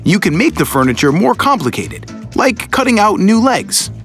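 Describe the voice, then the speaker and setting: A male narrator tells a children's story in a high voice, with hugely varied intonation. His scripted delivery is playful and expressive, with music underneath. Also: moderate pace.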